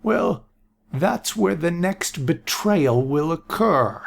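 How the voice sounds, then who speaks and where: A doddering old seer who loses track of his thoughts, pitched well above the narrator's own voice. His rasp is gravelly and husky, and his words come out slowly and vaguely. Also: expressive, full character voice. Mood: muddled. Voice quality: raspy. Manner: slow, vague, repeats himself. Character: doddering old male seer, confused and forgetful. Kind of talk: audiobook character voice.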